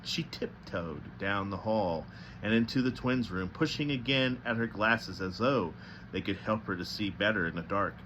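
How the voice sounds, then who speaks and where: A man reading a novel aloud as narrator, with a slightly halting delivery that stumbles now and then. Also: fairly low pitch, a bit bright, a little hiss or hum, poor audio. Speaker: storybook reader.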